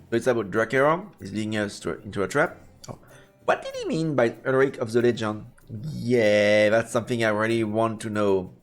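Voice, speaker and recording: A man hosting a live let's play, reading game text aloud haltingly and adding playful muttered commentary. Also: lowish voice. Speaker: streamer, let's play host. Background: game music.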